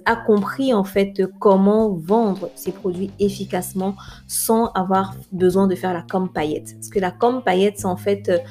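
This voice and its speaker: Chatty woman podcast host, mellow voice. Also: medium pitch. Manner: friendly, chatty, repeats words while searching for them. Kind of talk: casual podcast conversation.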